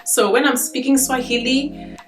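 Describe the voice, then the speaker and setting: A woman's voice: high voice, fairly even intonation, moderate pace. A woman from Kenya in her thirties with a Kenyan accent, chatting to camera in a casual, filler-heavy way, laughing easily. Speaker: vlogger. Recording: echoey room with faint guitar music.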